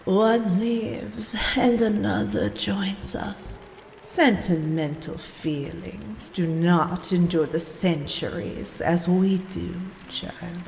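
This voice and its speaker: Very slow, seductive vampire. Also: female voice, lowish voice, gravelly, a little mellow. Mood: mocking. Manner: slow, taunting, condescending. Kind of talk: radio play acting. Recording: long reverb, faint noise.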